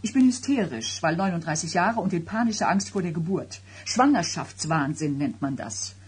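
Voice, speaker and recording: An actress playing an agitated, suspicious and sarcastic pregnant wife of thirty-nine in a German radio drama, with a biting, questioning delivery. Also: female voice, lowish voice, animated, normal speed, darkish tone, breathy edge. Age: middle-aged.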